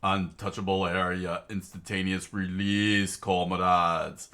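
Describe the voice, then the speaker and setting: Man doing young woman, loyal, cheerful, steady, encouraging, low slow delivery. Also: exaggerated voice.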